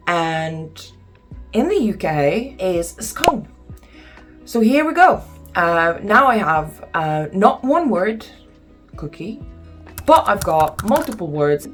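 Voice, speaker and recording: A young woman from Lithuania with a Lithuanian accent, chatting casually to camera in a home vlog, with lots of ums and exasperated asides. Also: on the low side. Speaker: vlogger. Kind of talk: casual talking-head vlog.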